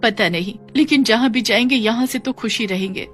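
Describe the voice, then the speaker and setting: Lady playing a meek caring young mum in a cartoon story, soft n soothing, music behind. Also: a little high, fairly quick, close to an everyday voice. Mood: resolved, sad. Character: gentle suffering young mother, meek and caring.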